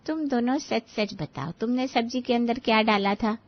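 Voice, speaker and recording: Woman playing kindly older auntie, gentle, reassuring, high voice, cartoon moral story, slightly muffled audio. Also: moderate pace, lightly acted. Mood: gently explaining. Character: kind older aunt-in-law, gently advising her nephews' wives. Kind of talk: animated moral-story dialogue.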